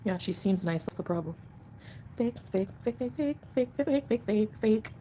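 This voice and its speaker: A woman's voice: mid-range voice, lively intonation, normal speed, warm tone, slight background noise, low-quality recording. A woman hosting a casual livestream at home, chatting with viewers and answering comments in a rambling, defensive way. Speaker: livestream host.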